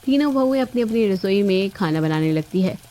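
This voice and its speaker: A woman's voice: mid-range voice, lively intonation, fairly quick, warm tone. A woman reading scripted narration for a cartoon family story. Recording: dull lo-fi audio over music.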